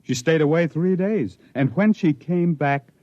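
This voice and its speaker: Haunted spaceship captain, widely swinging delivery. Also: male voice, a little high, expressive, warm tone, dry recording. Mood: bitter regret. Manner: dramatic storytelling with brooding pauses. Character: stranded male spaceship captain recounting his alien ordeal, haunted, obsessive. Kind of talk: 1950s radio drama acting. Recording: dull vintage 1950s radio recording.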